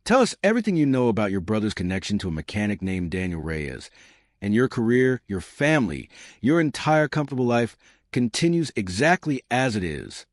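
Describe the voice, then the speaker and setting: Man acting menacing henchman, low voice, measured threats, cold, audiobook drama, dry sound. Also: sing-song, dry recording. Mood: cold menace.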